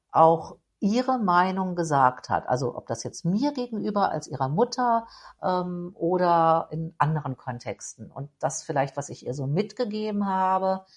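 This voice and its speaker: A middle-aged woman from Berlin speaks in a low, deep register, answering interview questions at length. She pauses often, fills gaps with hesitations and restarts her sentences as she gathers her thoughts. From Berlin, Germany. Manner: hesitant, many fillers and restarts. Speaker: interview guest. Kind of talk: reflective conversational answers.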